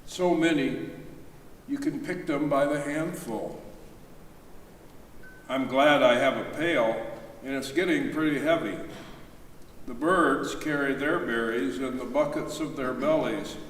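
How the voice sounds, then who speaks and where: A man's voice: mid-range voice, a little slow, pauses often. A man reading literary nature prose aloud at a live readers theater. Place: reverberant church, live reading. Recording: distant, echoey church hall, faint noise.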